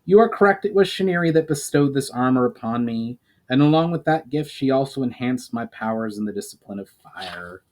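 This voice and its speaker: A man's voice: medium pitch, expressive, a little fast, few pauses, darkish tone, natural voice. A man voicing a grave, knowledgeable elf mage on a quest, speaking formally and earnestly in a storybook reading.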